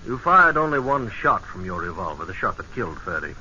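Male captain, measured, probing, polite, very forceful tone, hissy vintage radio drama. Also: deep voice, very animated, full character voice. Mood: stern, accusing. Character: British military intelligence captain, polite, shrewd, relentless interrogator. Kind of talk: 1940s-50s radio drama acting. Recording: old hissy, muffled radio broadcast recording.

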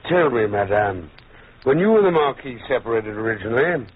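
Husky, skeptical old judge. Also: male voice, medium pitch, lively intonation, a little fast. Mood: probing. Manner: dry, probing. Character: shrewd, cautious, skeptical older uncle, a judge. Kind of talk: old-time radio drama acting. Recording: tinny old radio recording.

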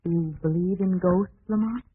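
A woman's voice: fairly low pitch, moderate pace, slightly rough. An actress playing an uneasy, inquisitive woman companion to a sleuth in an old-time radio mystery, with a nervous, dramatic delivery. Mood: questioning. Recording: tinny, noisy vintage radio recording.

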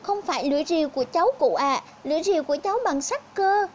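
A woman's voice: high voice, animated, fast talker, a little mellow, a little hiss or hum, pitched above the performer's own voice. A woman voicing a poor, honest young woodcutter in a fairy tale, speaking politely and earnestly. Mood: honest denial.

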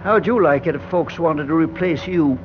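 An actor playing a sentimental elevator operator in his seventies, deferential but quietly stubborn, in a classic radio drama. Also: fairly high pitch, moderate pace, close to an everyday voice. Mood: quietly defiant. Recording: dull, noisy old radio recording.

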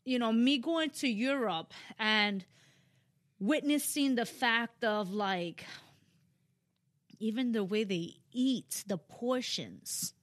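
A woman's voice: a little high, slow, dry recording, clean studio sound. A young woman guest on a podcast, talking casually and loosely, with lots of "you know" and playful jokes. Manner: rambling, heavy on "you know" and "like", jokey asides. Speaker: podcast guest, spiritual speaker. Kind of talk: casual chat.

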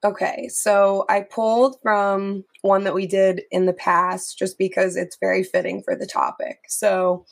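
A woman co-hosting a live-streamed fan podcast, hoarse as she gets over an illness, recapping scenes in a chatty way. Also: mid-range voice, little pitch movement, measured pace, hardly any pauses. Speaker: podcast co-host. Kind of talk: casual chat.

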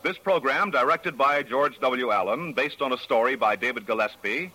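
A man's voice: mid-range voice, normal speed, pushed and bright, low-quality recording. A man announcing in polished, formal 1940s radio sponsor style. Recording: vintage radio broadcast.

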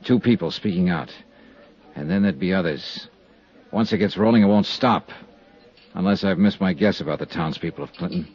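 Deep-voiced hard-boiled American radio investigator. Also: male voice, moderate pace, slightly rough. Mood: calm, probing. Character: tough, steady male freelance insurance investigator. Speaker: lead actor and narrator. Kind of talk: 1950s radio drama acting. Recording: dull vintage 1950s radio recording.

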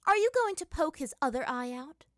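Woman putting on a shrill, sneering young princess. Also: very animated, brisk, dry recording, clean studio sound. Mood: teasing. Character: cruel haughty young princess, fierce and mocking.

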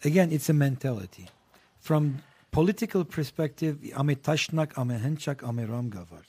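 Man, husky voice, blunt and profane, guest in a chat. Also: on the high side, expressive, a little fast, darkish tone. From Lebanon. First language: Armenian. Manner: blunt and provocative, swears freely. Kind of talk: casual panel chat.

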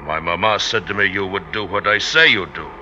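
An actor playing a crude, simple-minded young French husband, speaking in blunt, clumsy phrases in an old radio drama. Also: male voice, sits low, a little fast, pushed and bright. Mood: menacing. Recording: dull vintage radio recording with background noise.

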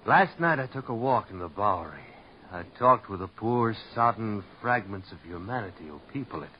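A man's voice: fairly low pitch, expressive, normal speed, a little hiss or hum, close to an everyday voice. An actor playing an eccentric, theatrical male vaudeville dancer who talks in a flowery, affected way, in 1940s radio acting. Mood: dreamy. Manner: flowery, affected, self-dramatizing. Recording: muffled old radio recording.

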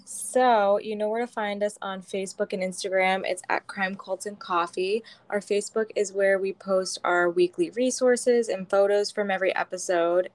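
Woman, podcast co-host, brisk, dry sound. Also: medium pitch, no echo. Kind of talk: podcast outro spiel.

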